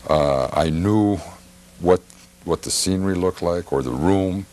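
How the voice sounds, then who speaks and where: A middle-aged American man hosting a TV interview in a halting, rambling, casual way, often repeating himself. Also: sits low, darkish tone. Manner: halting, rambling, repeats phrases and leans on "you know". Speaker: TV show host. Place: television studio. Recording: older TV broadcast with faint background noise.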